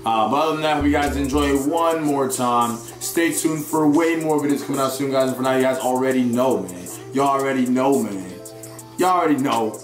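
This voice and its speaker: A young man with a raspy, sore voice giving excited live commentary on a football video game and talking to his viewers. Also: medium pitch, a little fast, echoey room, faint music behind. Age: young adult. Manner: excitable, hypes plays, chatty with viewers. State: hoarse, sore voice. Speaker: gaming YouTuber.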